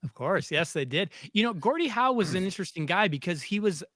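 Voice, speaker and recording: Excited host guy, pretty high sharp voice, dry close mic, chatting sports. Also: fairly quick, no echo. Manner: eager, enthusiastic introductions and questions. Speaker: podcast host. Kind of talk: casual sports interview.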